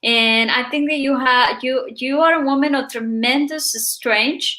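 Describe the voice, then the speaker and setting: Halting higher-voiced woman host. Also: sharp tone. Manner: halting, many fillers, admiring. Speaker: talk show host. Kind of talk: interview questions.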